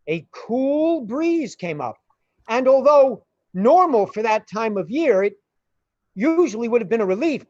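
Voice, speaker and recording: A man's voice: very high voice, darkish tone. A man narrating a radio play in a dramatic storytelling style. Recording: dull, roomy amateur recording.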